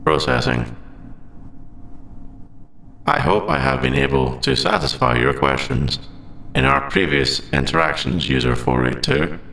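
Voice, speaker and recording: A man's voice: medium pitch, huge pitch swings, a little slow, gravelly, long pauses, sharp tone, echoey room, slight background noise, full character voice, rough recording. A man voicing a polite, literal sentient AI in a scripted roleplay audio drama, speaking in stilted, formal, robotic phrasing. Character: male sentient AI, polite, literal, hiding forbidden feelings.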